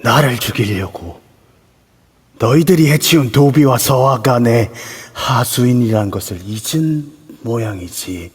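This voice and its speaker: A man's voice: mid-range voice, animated, unhurried, breathy edge. A man playing the vengeful, menacing ghost of an old-time lord in an amateur audio drama, speaking in archaic, imperious phrases. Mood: cold menace. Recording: long reverb throughout.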